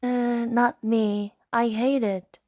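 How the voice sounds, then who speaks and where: A woman's voice: fairly high pitch, level tone, slow pace, dark tone, no room sound, clean studio sound. A woman voicing a mischievous, bold young princess from a storybook, blunt and unladylike. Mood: defiant. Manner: blunt, bossy. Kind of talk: storybook character voice.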